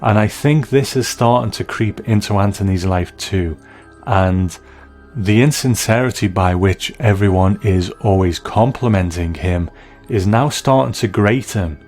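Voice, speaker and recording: A man giving conversational review commentary, reflective and measured, as narrator. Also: fairly low pitch, a little mellow, faint music behind.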